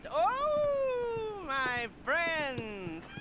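An actor playing an excitable middle-aged heist planner in a video game cutscene, exuberant and halting, gushing with neurotic glee. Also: male voice, heavy background noise, exaggerated voice. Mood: elated, gushing. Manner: exuberant, halting, swooping between giggly squeals and low mutters.

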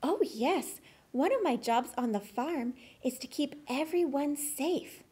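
Woman playing a high-voiced, earnest farm collie. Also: animated, slow pace, warm tone. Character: dutiful female farm collie watchdog puppet. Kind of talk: children's puppet show.

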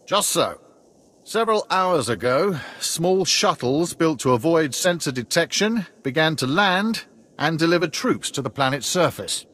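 A military major in a game cutscene. His voice sits somewhat high for a man. He briefs formally and precisely, staying composed. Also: lively intonation, measured pace, fairly bright tone. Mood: formal, serious. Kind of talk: scripted video game briefing.

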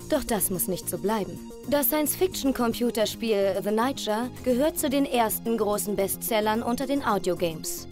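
Sprecherin eines Magazinbeitrags über lauter Musik. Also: female voice, a little high. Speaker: reporter voice-over. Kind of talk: scripted magazine report narration. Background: loud music.

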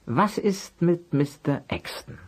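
An actor playing an amiable, patient private detective in a German children's radio play, husky, polite and a little hesitant. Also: male voice, fairly high pitch, sing-song, slow, full character voice. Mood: thoughtful, planning.

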